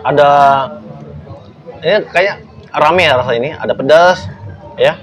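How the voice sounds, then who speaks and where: A man hosting a casual food-tasting interview, chatty and joking. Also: fairly high pitch. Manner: chatty, joking, lots of quick backchannel. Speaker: food show host. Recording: music playing behind.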